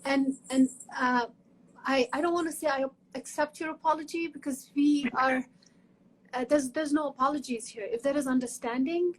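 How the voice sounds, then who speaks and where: This is a woman from Kashmir, talking earnestly in an online conversation. Her voice sits high, and she often doubles back, repeating words as she searches for them. A faint noise runs behind the call. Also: fairly even intonation, normal speed, low-quality recording. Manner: earnest, frequent restarts and repetitions. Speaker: guest academic in conversation. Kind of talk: conversational interview talk.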